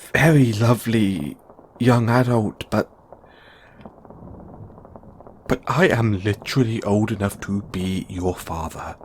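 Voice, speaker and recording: Man acting a middle-aged dad, lowish voice, nervous, hesitant, stammering, kindly, audio roleplay, faint noise behind. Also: a little mellow. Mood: flustered.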